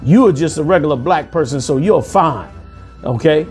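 A forceful, sermonizing man in his forties delivers commentary from a room on a lapel mic. His voice sits a little high for a man and keeps pressing its points. Soft music plays faintly underneath. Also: expressive, moderate pace. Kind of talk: preaching commentary over news clips. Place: indoor room.

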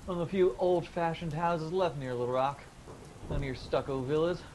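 An actor playing a cheerful, cocky young son in a radio drama, breezy and bantering. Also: male voice, a little high, steady tone, moderate pace, a little mellow, slight background noise, lightly acted, poor audio.

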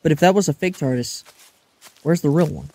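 A man's voice: on the high side, a little fast, mellow, natural voice. A man playing a blunt, questioning, teasing travel companion in a fan-made audio drama. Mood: teasing, curious.

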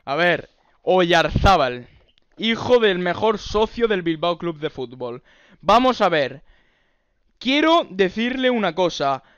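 Guy doing a bossy basque cop on a prank call, high clear voice, dry sound, super impatient. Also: very animated, slow, a bit bright, dry recording, highs cut off, heavily acted. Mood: officious, insistent. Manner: officious, insistent, easily exasperated. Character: bossy, short-tempered Basque police officer on the phone. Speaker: prank caller. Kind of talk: improvised phone prank.

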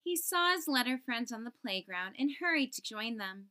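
A woman hosting storytime, reading a children's picture book aloud and enunciating deliberately for young listeners. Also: fairly high pitch, animated, pushed and bright. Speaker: storytime host.

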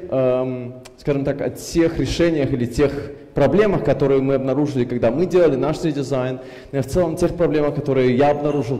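A man in his thirties from Belarus, with an unusual accent from years in Germany, giving a lively conference talk on a headset mic with lots of fillers. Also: medium pitch. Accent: mixed, from Belarus and years in Germany. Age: 30s. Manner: lively, gestures a lot, many fillers. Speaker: conference speaker. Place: large conference hall with audience. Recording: reverberant hall.

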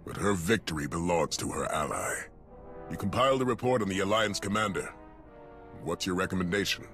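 A man's voice: deep voice, lively intonation, a little fast, a bit bright. An actor voicing a grim, resolute male Republic commander in a video game. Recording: game audio with music score.

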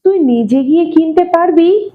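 A woman's voice: very high voice, lively intonation, normal speed, narrow, tinny audio, voice pushed up. A woman voicing a mild grandmotherly mistress in a storybook reading, coaxing and pretending to be naive. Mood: feigned innocence. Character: mild grandmotherly employer feigning innocence to young maid.

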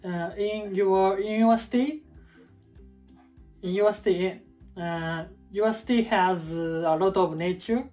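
Young japanese man, halting english, small room, faint music under. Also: high-pitched, darkish tone. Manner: hesitant, slow, repeats words while searching for English. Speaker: vlogger and English learner. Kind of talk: halting learner English, then casual video outro. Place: small indoor room at a table.

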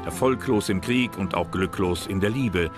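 A man's voice: sits low, moderate pace. A man reading scripted narration for a history documentary. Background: background music.

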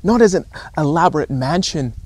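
Earnest, slightly high-voiced male preacher. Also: lively intonation, darkish tone, no echo. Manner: earnest, deliberate, with emphatic repetition and pauses. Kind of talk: informal recorded sermon to camera. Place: outdoors on a rocky shore by calm water.

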